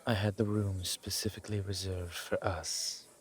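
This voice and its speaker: A man playing a suave, grateful old vampire in a roleplay audio, speaking formally with pauses and quiet amusement. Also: deep voice, little pitch movement, close to an everyday voice. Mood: gracious, inviting. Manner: genteel, formal, hesitant pauses, soft chuckles. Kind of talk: intimate one-sided roleplay audio drama.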